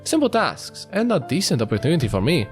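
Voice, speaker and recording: A man's voice: fairly high pitch, huge pitch swings, a little fast. A man hosting a playful read-aloud of a visual novel, narrating it and dropping in joking asides. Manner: playful, jokey, breaks into laughter. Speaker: host of a let's-play, reading the narration. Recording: music behind the voice. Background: background music.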